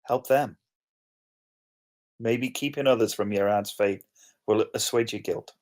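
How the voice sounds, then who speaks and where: A man's voice: fairly low pitch, unhurried, darkish tone, natural voice. A man acting a blunt, casual young roommate. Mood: urging. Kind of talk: informal online screenplay table read.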